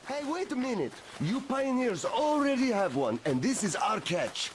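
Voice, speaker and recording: Young pirate thug, jeering, defiant, very high voice, noisy game audio. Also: male voice, big pitch swings, moderate pace. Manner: jeering, taunting. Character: boastful young male pirate gang member.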